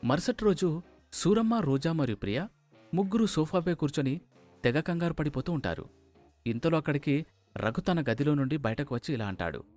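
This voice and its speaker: A man's voice: fairly high pitch, animated, normal speed, darkish tone, soft background music. A man reading scripted narration of a family moral story.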